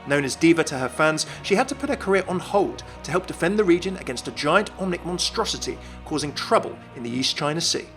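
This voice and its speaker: A man's voice: fairly high pitch, animated, fairly quick, hardly any pauses, a bit bright, soft background music. A man narrating a scripted video game explainer as a YouTube host, enthusiastic and explanatory.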